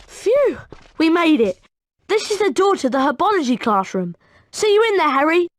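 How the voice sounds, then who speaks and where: A woman playing an eager, friendly, excitable young schoolchild in a video game. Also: very high-pitched, normal speed, no echo. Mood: excited. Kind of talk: scripted video game dialogue.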